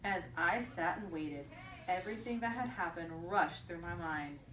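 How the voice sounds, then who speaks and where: A woman's voice: lowish voice, animated, moderate pace. A woman reads a picture book to young children like a teacher, stopping to prompt them with questions and echo their replies. She is recorded at some distance in a roomy, echoing space with faint background noise. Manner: prompting, repeats children's answers back.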